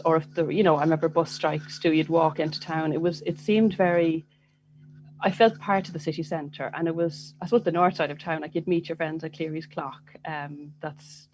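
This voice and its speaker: A woman's voice: sits low, darkish tone, rough recording. Chatty self-deprecating Dublin woman. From Ireland. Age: 40s. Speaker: guest writer. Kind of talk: casual conversational interview.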